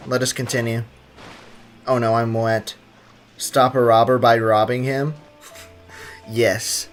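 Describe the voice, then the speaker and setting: A young man streams a game, cracking sarcastic jokes over the dialogue. His voice sits at a middle pitch and is light and jokey. Soft game music plays behind him. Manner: joking, sarcastic, laughs often. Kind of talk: gameplay livestream commentary.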